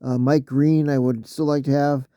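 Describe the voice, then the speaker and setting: A man losing his voice, talking casually through a solo mock draft, halting with fillers and often clearing his throat. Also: mid-range voice, flat delivery, dark tone, no room sound, highs cut off.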